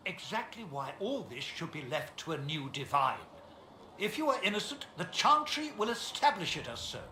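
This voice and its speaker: A man's voice: high voice, big pitch swings, normal speed, sharp tone, heavily acted. An actor playing a pompous, scornful male cleric in a fantasy video game, argumentative and indignant. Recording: echoey space, faint ambient noise.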